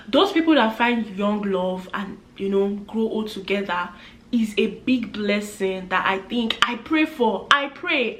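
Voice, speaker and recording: A woman's voice: fairly high pitch, a bit bright. Chatty young woman with a roomy echo. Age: 20s. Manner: earnest, chatty, acts out quoted voices. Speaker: vlogger. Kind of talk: casual advice talk to camera. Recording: echoey room.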